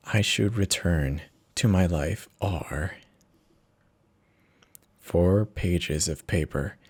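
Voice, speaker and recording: A man giving a theatrical, expressive dramatic reading of a first-person horror story, with dramatic pauses. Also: low-pitched. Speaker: narrator of horror stories.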